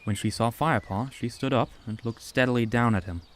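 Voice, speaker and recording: A man giving steady, scripted storybook narration for a fan-made audiobook. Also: medium pitch, brisk.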